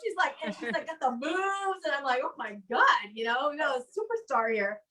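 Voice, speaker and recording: A woman's voice: high-pitched, big pitch swings, normal speed, pushed and bright. A woman hosting a casual online comedy chat from home, rambling along and acting out what people said. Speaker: host of an online comedy show. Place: home, video call.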